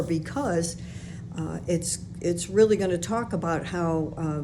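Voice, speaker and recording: An older woman with a Chicago accent hosts her own podcast and talks through her life story in a loose, chatty way, full of fillers and restarts. Her voice sits fairly low. A clear layer of noise runs behind her. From West Side of Chicago. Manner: conversational and rambling, with frequent fillers and self-corrections. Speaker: podcast host. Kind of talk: personal storytelling monologue.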